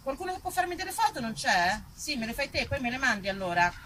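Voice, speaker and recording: A woman's voice: a little high, sing-song, fast, bright, forceful tone, a little hiss or hum. An Italian woman performing a live theatre monologue, chatting playfully and directly with her audience. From Italy. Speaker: stage performer.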